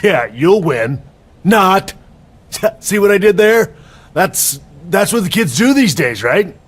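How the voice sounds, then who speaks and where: A man's voice: high voice, big pitch swings, slow, slightly rough, full character voice. An actor playing a cocky, sarcastic veteran male wrestler clowning around in a video game, with a mocking drawl. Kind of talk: scripted video game cutscene acting.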